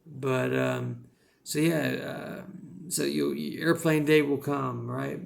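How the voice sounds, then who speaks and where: A man's voice: medium pitch, steady tone, slow, gravelly, slight background noise. A man with a rural American accent giving casual, rambling advice as an English teacher, with lots of "you know" fillers.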